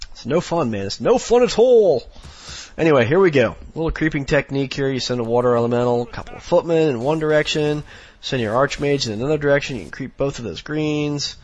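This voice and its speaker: A hoarse, sniffly man with a raspy voice, rambling through casual strategy commentary with lots of fillers. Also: medium pitch, lively intonation, brisk, darkish tone. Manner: rambling, many fillers, instructional. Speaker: game commentator.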